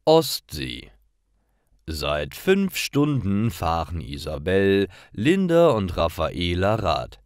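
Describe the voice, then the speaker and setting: Low-voiced man narrating with lively swings. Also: takes it slowly, smooth, dry recording, clean studio sound. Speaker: narrator of stories for language learners. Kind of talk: scripted storybook narration for learners.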